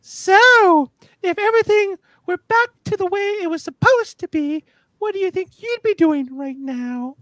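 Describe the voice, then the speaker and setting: Woman doing a brisk flirty space officer lady, really high voice, swoops around, amateur radio play. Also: animated, fairly bright tone, dry recording, full character voice. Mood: flirtatious. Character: female starship crew officer, brisk and flirtatious. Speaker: podcaster acting in script reenactment.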